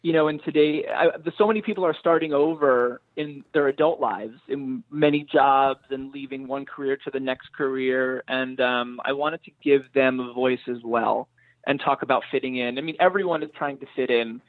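A man's voice: medium pitch, moderate pace, dry recording, phone-quality sound. A man being interviewed as a screenwriter, speaking thoughtfully and often rephrasing as he searches for words. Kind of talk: thoughtful interview talk about own writing.

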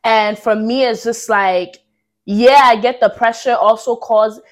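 A woman's voice: fairly high pitch, fairly even intonation, a little slow. A young woman in a casual podcast discussion, talking in slang and arguing her point assertively. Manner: slangy, assertive, devil's advocate.

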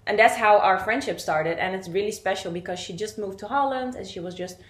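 A woman's voice: medium pitch, fast talker. Brazilian-born woman, thirties, native Portuguese speaker, chatty guest full of fillers, echoey room. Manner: chatty, many fillers and restarts. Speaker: guest, vlogger. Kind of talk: casual interview chat.

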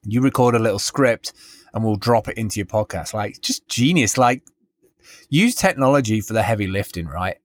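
A man's voice: fairly low pitch, expressive, moderate pace, no room sound, clean studio sound. A man co-hosting a podcast in casual, rambling chat, often interrupting himself as he tells a story. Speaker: podcast co-host.

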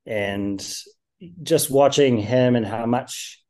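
Male podcast guest, American accent with a Kiwi tinge, mellow and relaxed, upbeat, heavy on fillers. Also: medium pitch, slow pace. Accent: American with some New Zealand tinge. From United States. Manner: chatty, many "um" and "uh" fillers, upbeat. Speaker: startup founder guest. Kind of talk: casual podcast interview.

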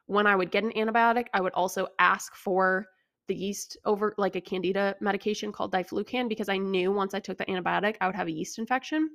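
A woman's voice: medium pitch, fairly even intonation, fast talker, a bit bright, no room sound, clean studio sound. A young adult American woman hosting a health podcast, explaining things in a conversational, opinionated way as she answers listener questions. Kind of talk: casual informative solo podcast talk, answering listener questions.

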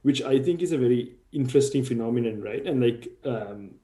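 A man's voice: mid-range voice, a little fast, dark tone, echoey room. A man from Sri Lanka, a podcast guest, talking through ideas thoughtfully and hedging often with "sort of". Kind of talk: casual discussion.

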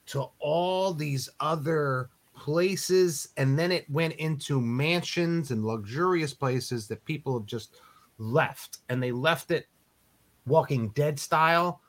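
A man co-hosting a casual chat show, telling stories in an animated way and laughing often. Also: on the high side, slow pace. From Philadelphia area. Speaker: co-host.

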